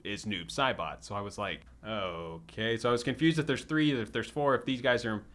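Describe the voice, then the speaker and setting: A man's voice: lowish voice, moderate pace, fairly bright tone. A man co-hosting a podcast in casual film banter, swearing freely and acting out mock quotes. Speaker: podcast co-host.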